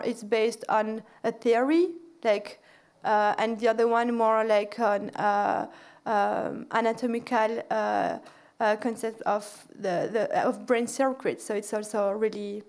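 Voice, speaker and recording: Woman lecturer fielding questions, kinda high voice, lots of uhs and restarts, big hall podium mic. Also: fairly even intonation, measured pace, a little mellow. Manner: hesitant, many fillers and restarts. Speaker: lecturer answering audience questions.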